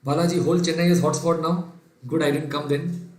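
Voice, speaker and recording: A man's voice: a little high, level tone, brisk, a little mellow, echoey room, low-quality recording. Indian man teaching a live class. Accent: Indian English. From Kerala, India. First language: Sindhi. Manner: dictates steps, reads out viewers' names and chats to them. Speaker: finance lecturer. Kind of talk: live online revision lecture.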